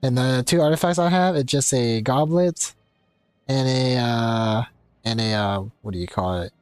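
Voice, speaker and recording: A man's voice: medium pitch, a little slow, clean tone, no echo. A young man commenting casually on his gameplay as a streamer, chatty and rambling. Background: faint game music.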